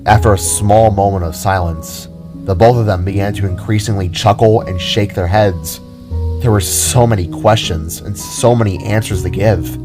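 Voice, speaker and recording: A man with a low voice narrates a scripted fantasy story in a dramatic audio-drama style. Music and rain play behind his reading. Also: normal speed.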